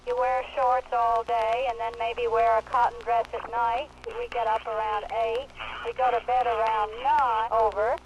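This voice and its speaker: A high-pitched woman chats casually over an amateur radio link. Clear static and noise run behind her voice. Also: flat delivery, normal speed, highs cut off. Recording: noisy amateur radio transmission.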